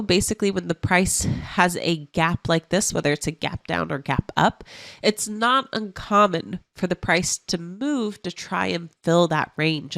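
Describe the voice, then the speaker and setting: A woman who sounds stuffy from a cold, walking through trading charts as an options analyst in a clear, explanatory way. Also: fairly low pitch, fairly bright tone, dry recording.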